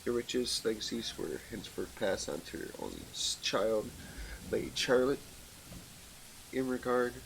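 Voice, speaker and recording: A man's voice: mid-range voice, very animated, measured pace, gravelly, slight background noise, rough recording. A man hosting a playthrough, reading story text aloud and commenting casually, with occasional stumbles. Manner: informal, stumbles while reading. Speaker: let's play host. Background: game music, rain.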